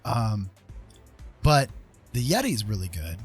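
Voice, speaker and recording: Low-voiced man streaming, music behind. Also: sing-song, takes it slowly, breathy edge. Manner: rambling, easygoing, informal. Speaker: live streamer; former radio host. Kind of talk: casual livestream chat with viewers. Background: music playing.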